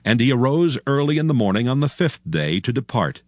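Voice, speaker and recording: A man narrating scripture from a script as a solemn, measured storyteller. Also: mid-range voice, big pitch swings, a little mellow, no echo, clean studio sound.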